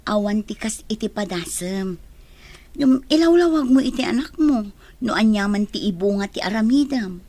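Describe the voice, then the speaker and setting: A woman's voice: medium pitch, animated, normal speed, mellow. A woman playing a practical, frank confidante in a radio drama, giving advice with an Ilocano accent. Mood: advising.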